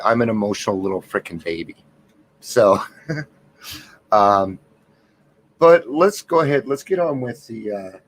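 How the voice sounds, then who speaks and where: A man hosting a recovery livestream, talking softly in a candid, rambling way while unwell and worn out. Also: lowish voice. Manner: rambling, candid, self-deprecating, many restarts. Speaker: livestream host of a recovery reflection. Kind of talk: casual livestream chat. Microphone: computer mic with gain turned up.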